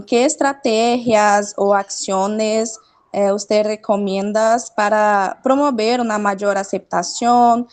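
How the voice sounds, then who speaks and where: Slow-speaking woman asking a question. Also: medium pitch. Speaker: interviewer. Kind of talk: webinar question. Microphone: video call.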